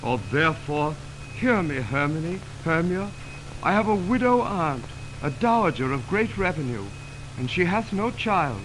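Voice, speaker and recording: Romantic young suitor, high male voice, theatrical verse, tender and ardent, noisy 1920s radio recording. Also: big pitch swings, a little slow, fairly bright tone. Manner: declamatory, theatrical. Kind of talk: 1920s radio Shakespeare acting. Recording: noisy 1929 radio aircheck on film.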